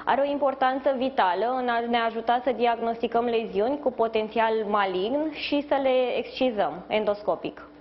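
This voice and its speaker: A woman in her thirties, a doctor giving measured, formal explanations as a guest in a live news interview. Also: fairly high pitch. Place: indoors in a hospital, live video link. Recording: slightly dull sound, faint background noise.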